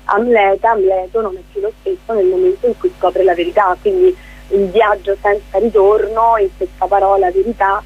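A woman's voice: mid-range voice, slightly rough, muffled recording. A woman speaking as a guest theatre director in a conversational radio interview, explaining things discursively.

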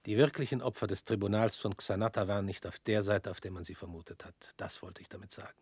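An actor in a radio play playing a weary, guilt-laden former party official who talks in a measured, brooding way and often drops to a whisper. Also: male voice, lowish voice, fairly even intonation, a little mellow, slightly rough, dry recording, clean studio sound.

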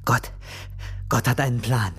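An actor playing a brutal, unhinged, greedy criminal who gives menacing, foul-mouthed orders in a German radio crime drama. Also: male voice, medium pitch, fairly even intonation, a little slow, a bit bright, breathy edge. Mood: fanatical.

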